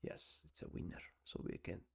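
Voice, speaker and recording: A man from Italy with an Italian accent, giving halting casual live-stream commentary on taekwondo fights and answering viewers. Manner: halting non-native English with many "uh"s, chatting with viewers.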